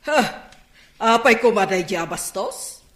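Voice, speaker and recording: A woman's voice: medium pitch, expressive, measured pace, natural voice. A Filipino woman acting a haughty middle-aged mother in a radio drama, scolding and questioning with indignation. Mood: indignant. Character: haughty, scolding mother of a grown son, fallen rich. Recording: roomy, echoing sound.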